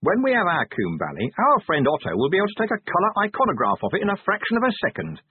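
A man voicing a weary, gruff middle-aged police commander with a sardonic edge. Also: medium pitch, expressive, a little fast, no room sound, narrow, tinny audio.

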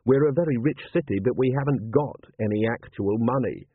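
A man voicing a gruff, cynical police commander in an audiobook, with a blunt, sardonic manner. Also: mid-range voice, fairly quick, dry recording. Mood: worried, wry. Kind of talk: audiobook character voice. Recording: narrow-band, phone-like sound.

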